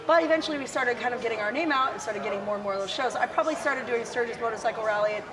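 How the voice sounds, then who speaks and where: A woman from South Dakota with a gravelly, raspy voice, chatting casually and laughing now and then as an interview guest. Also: on the high side, racing, crowd noise. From South Dakota, USA. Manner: chatty and self-deprecating, laughs often. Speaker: musician guest. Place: outdoors at a windy motorcycle expo.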